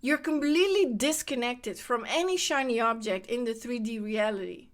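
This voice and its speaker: Lady podcasting about spirituality, higher voice, lively and repeats herself a lot. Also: normal speed. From Netherlands. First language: Dutch. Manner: animated, repeats phrases for emphasis. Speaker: podcast host. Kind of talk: casual spiritual monologue.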